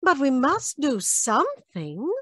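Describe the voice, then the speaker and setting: An anxious, devoted young lady of radio melodrama, played by a woman. Her high voice swoops with slow, pleading earnestness. It is a dry recording from a video call. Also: wildly swooping pitch, a bit bright, no echo, heavily acted. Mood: worried. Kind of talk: 1940s radio mystery acting. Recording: live performance over video call.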